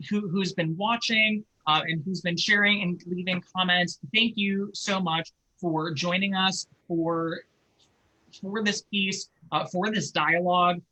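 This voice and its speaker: A man's voice: high voice, fairly even intonation, unhurried, bright, forceful tone, poor audio. A man hosting an online discussion, speaking casually and earnestly to the audience, often repeating words as he goes. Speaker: host.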